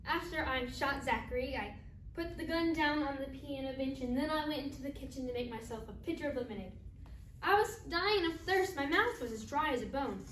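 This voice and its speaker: A woman acts out a naive, chatty young wife in a stage monologue, high-pitched and childlike in her detailed storytelling. The room echoes and she stands well back from the mic. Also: animated, a little fast, a bit bright. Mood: dazed, matter-of-fact. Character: naive Southern wife, oddly matter-of-fact about shooting her husband. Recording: roomy, distant mic, faint noise.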